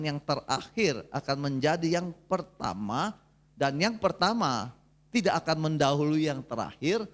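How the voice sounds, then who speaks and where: Guy around 70, preaching casually, slightly high voice, keeps checking the congregation is following. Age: 69, nearly 70. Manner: folksy, addresses congregation with check-in questions. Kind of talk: conversational church sermon.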